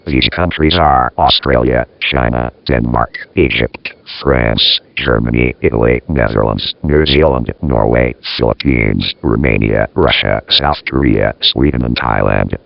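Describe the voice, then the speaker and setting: A man's voice: extremely low pitch, measured pace, gravelly, dry recording. A man reads a scripted news bulletin as an announcer, in dull, lo-fi audio.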